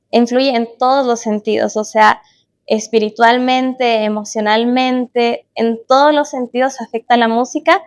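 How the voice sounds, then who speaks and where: A woman in her late twenties with a Chilean accent, chatting casually as a podcast guest about her own life. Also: a little high. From Chile, born in Guatemala. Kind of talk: casual interview chat, personal reminiscence.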